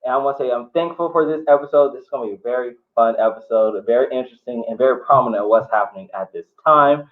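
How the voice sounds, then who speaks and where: A male host leads a group discussion over a thin, phone-like connection. His pitch sits in the middle for a man. He talks informally and tends to repeat his phrases. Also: fast, hardly any pauses. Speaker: podcast host.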